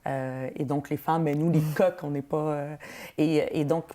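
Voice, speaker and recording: A woman in her thirties, from Palestine with Arabic as her first language, speaks with a Quebec French accent as an interview guest, earnest and quick to laugh. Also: sits low, fairly even intonation, mellow. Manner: earnest, laughs easily, repeats words. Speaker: politician, guest. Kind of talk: interview answers.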